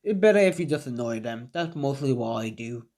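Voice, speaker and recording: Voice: medium pitch, expressive, a little fast, darkish tone, lightly acted. Someone doing an aloof teenage boy ninja, Naruto.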